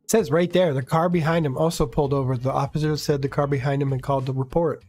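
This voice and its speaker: Male co-host, higher than average, casual and matter-of-fact banter over background music. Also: steady tone, fast talker, darkish tone.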